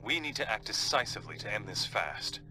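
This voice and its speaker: A man playing an authoritative superhero leader in a video game, briefing in a measured, decisive way. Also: fairly low pitch, big pitch swings, over music. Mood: resolved. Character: authoritative, resolved male superhero leader briefing his team. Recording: loud noise behind voice.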